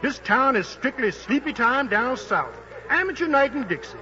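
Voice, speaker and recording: An American man playing a fast-talking, wheedling boxing manager in slangy 1940s radio drama, coaxing and insistent. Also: very high voice, animated, bright, forceful tone, full character voice. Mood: pushy, persuasive. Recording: muffled, noisy 1940s radio broadcast.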